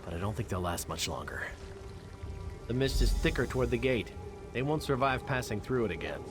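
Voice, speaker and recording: A man's voice: mid-range voice, lively intonation, a little fast, natural voice. Brash, gallant guard captain, played by a man, fantasy game. Mid-range male voice, familiar and plain-spoken, music behind. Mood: concerned, resolute. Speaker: game character. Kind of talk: scripted fantasy game dialogue. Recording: music under the voice.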